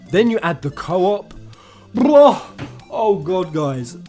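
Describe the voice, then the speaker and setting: A man giving casual, sweary gaming news commentary as a channel host, excitable and informal in manner. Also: high-pitched, slow. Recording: music under the voice. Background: background music.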